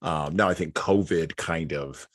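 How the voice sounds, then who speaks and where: A man hosting a podcast talk, thoughtful and unhurried, with many ums. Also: rumbling low, dry recording, studio quality. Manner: thoughtful, filler-heavy with ums. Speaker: podcast host. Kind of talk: casual discussion.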